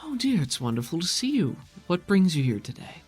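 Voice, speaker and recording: A man voicing a serene, gray-haired older mother with a calm, gentle, softly hesitant manner. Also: high voice, brisk, husky, faint music behind, pitched above the performer's own voice, full character voice. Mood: warm, serene.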